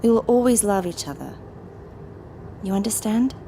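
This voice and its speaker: Woman acting mellow-voiced, proper, scolding sister. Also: mid-range voice, animated, normal speed, gravelly, slight background noise. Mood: firm, reassuring. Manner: polite, formal, admonishing. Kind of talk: audio drama acting.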